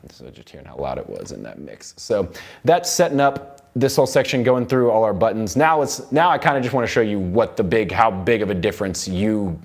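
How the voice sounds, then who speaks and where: A man's voice: fairly low pitch, lively intonation, fairly quick, creaky. A young man giving a casual, informal tutorial as an audio production instructor in a recording studio. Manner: informal, loose explaining. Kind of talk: casual hands-on software tutorial. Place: recording studio control room. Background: music playback from the session.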